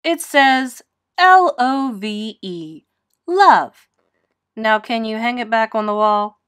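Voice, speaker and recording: A woman voicing a loving, patient mother pig, nurturing and expressive, in a storybook read-aloud. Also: a little high, slow pace, smooth.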